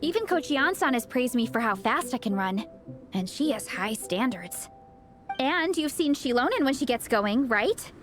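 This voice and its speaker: A woman's voice: on the high side, animated, normal speed, over music, close to an everyday voice. A woman voicing a supportive, confident young athlete in a video game, sincere and encouraging in the way she talks.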